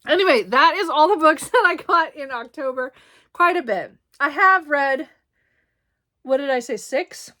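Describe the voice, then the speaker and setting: Female book vlogger, casual and chatty, bright tone, slight hoarseness, meandering delivery full of fillers. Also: high-pitched, expressive, a little slow. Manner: rambling, many ums and self-corrections. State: slightly hoarse, clearing throat. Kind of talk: casual book haul chat.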